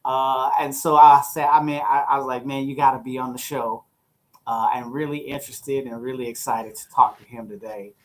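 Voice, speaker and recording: A middle-aged American man hosting a podcast, chatting casually in a rambling, slangy, animated storytelling way. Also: mid-range voice, moderate pace, poor audio. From St. Louis, USA. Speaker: podcast host. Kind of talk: casual chat.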